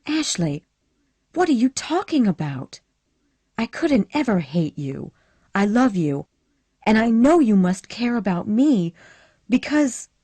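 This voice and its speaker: A woman's voice: medium pitch, big pitch swings, takes it slowly, pauses often, mellow, husky, no echo, pitched above the performer's own voice, heavily acted. A woman reading the part of a passionate, impulsive young woman in a storybook, speaking in a breathless, pleading way with rising emotion. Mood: desperate.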